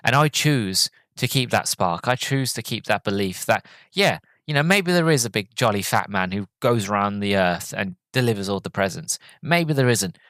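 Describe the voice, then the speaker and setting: A man's voice: on the low side, animated, moderate pace, hardly any pauses, a bit bright, no room sound. A man hosting a podcast, chatting casually about a movie, slightly groggy with a cold and rambling with many restarts and ums. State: has a cold, a bit groggy. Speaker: podcast host. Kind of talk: casual solo movie review chat.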